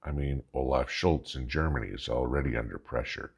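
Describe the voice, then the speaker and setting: An American man hosting a vlog with casual political commentary, talking in a folksy, emphatic, halting way and posing rhetorical questions. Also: rumbling low, creaky, husky. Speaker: host of a preparedness vlog.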